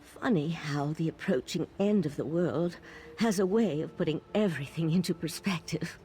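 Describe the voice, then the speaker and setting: A woman's voice: low-pitched, normal speed, mellow, slight background noise, close to an everyday voice. A woman playing a weary, sincere elf mage, a veteran of a mystic order, in a fantasy video game. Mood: reflective worry.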